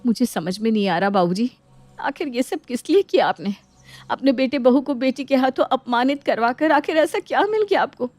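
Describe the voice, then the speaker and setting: Woman playing wronged, protesting sister-in-law in a cartoon family drama; fairly high voice, dry recording. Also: expressive, normal speed, darkish tone, dry recording, soft background music. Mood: hurt, protesting. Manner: indignant, protesting. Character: indignant married sister-in-law defending her household. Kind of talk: dramatized Hindi moral story acting.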